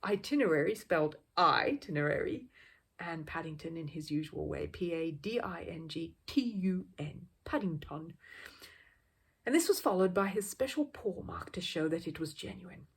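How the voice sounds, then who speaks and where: A woman reading a children's story aloud as an expressive, animated narrator. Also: on the low side, moderate pace, breathy edge.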